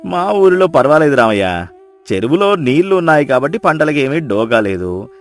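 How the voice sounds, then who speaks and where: A man voicing a hearty, friendly visiting village in-law in a moral story, loud and exclaiming in a folksy way. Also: fairly high pitch, very animated, moderate pace. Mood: friendly, grateful. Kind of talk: storybook dialogue acting.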